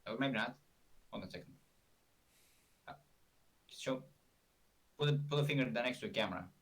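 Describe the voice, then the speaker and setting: A man's voice: mid-range voice, normal speed, a bit bright. A man from Romania, hosting a casual live stream in a rambling, self-correcting way, a bit far from the mic. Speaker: streamer host.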